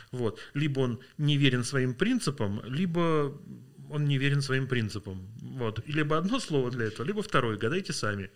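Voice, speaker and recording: A man's voice: medium pitch, fairly bright tone. A man from Russia, a linguist guest on a podcast, talking thoughtfully with many hesitations and sounding tired. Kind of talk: podcast interview.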